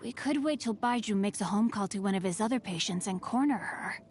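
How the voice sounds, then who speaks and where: A woman voicing a bookish, sly teenage boy martial artist from a video game, speaking in formal, articulate, measured phrases. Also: medium pitch, animated, faint music behind, lightly acted. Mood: poised, wry. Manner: formal, articulate, measured, old-fashioned wording. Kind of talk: scripted video game character voice lines.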